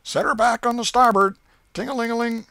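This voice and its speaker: A man's voice: extremely high pitch, fairly even intonation, brisk, sharp tone, no room sound, pitched above the performer's own voice, exaggerated voice. A man voicing a boisterous storybook boy who plays steamboat captain, barking orders and imitating bells and engine noises. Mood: commanding, excited. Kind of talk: storybook character voice in an audiobook.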